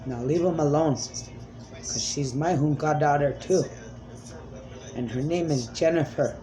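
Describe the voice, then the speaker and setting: A woman's voice: sits low, measured pace, warm tone, soft background music, low-quality recording. A woman speaking as a self-described spirit medium in a rambling, emphatic monologue, pleading and repeating commands.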